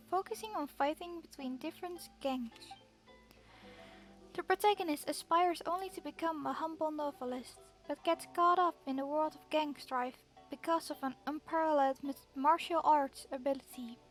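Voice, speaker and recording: A woman's voice: high voice, normal speed, soft background music. A woman streamer reading game text aloud steadily, sometimes restarting a word.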